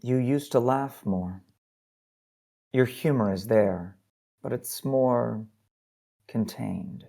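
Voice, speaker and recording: A man acting in an audio drama as a teasing, perceptive husband, with a gravelly, husky voice. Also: mid-range voice, slow pace, long pauses, dark tone. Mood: intimate, searching.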